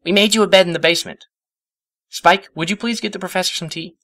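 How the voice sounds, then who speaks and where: A man voicing a bookish, excitable young female pony who gushes earnestly and gets flustered, in a storybook reading. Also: high-pitched, fast talker, pitched above the performer's own voice. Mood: eager. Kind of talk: storybook character voice.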